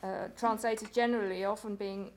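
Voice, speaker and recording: Measured woman speaking on a panel. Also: mid-range voice, steady tone. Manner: measured, with stumbles and repeated words. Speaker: literary translator on a panel. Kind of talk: panel talk.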